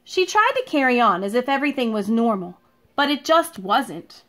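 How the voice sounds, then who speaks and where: A woman with a high voice and very lively intonation, speaking as a schoolteacher to young children. She has an expressive, deliberate storytime delivery, as in a read-aloud made at home. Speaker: elementary school teacher reading a picture book aloud. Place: living room at home.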